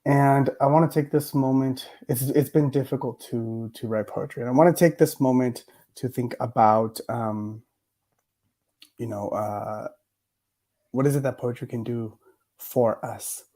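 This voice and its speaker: Soft-toned man, hesitant and reflective. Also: medium pitch, fairly even intonation, measured pace. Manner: hesitant, many fillers and restarts. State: recovering from a cough, voice a bit worn. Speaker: poet laureate giving a lecture. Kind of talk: reflective mini lecture on poetry.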